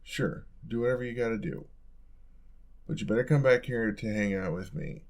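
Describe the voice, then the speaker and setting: A young male roommate played by a man talks in a low voice with plenty of gravelly fry. He is caring and lightly teasing, reassuring in a casual way. The delivery is intimate audio roleplay in an ASMR style. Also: moderate pace, a little mellow, natural voice.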